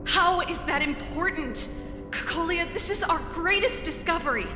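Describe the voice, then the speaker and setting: An actress playing a passionate, idealistic young researcher in a video game, pleading dramatically. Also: female voice, very high-pitched, normal speed. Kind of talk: video game story voice acting. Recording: echoey reverb with music behind.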